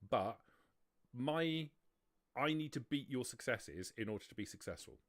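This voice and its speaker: Male game master for a tabletop stream; average pitch, conversational rules talk, very little room sound. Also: lively intonation, a little slow, no room sound, studio quality. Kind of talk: running a tabletop roleplaying livestream, casual rules talk.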